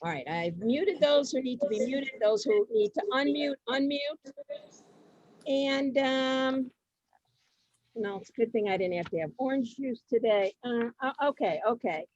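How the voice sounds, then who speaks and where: High-voiced woman hosting, dry close sound. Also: a little mellow, dry recording, dull audio. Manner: halting, often repeating her phrases. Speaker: host of an online reader's theater event. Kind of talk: informal, chatty event hosting on a group video call. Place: online video meeting. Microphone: video call.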